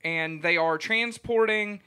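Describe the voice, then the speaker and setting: A man's voice: high voice, sharp tone, smooth. A man co-hosting a casual movie podcast, firing off joking questions. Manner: asks rapid joking questions. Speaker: podcast co-host. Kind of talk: casual chat.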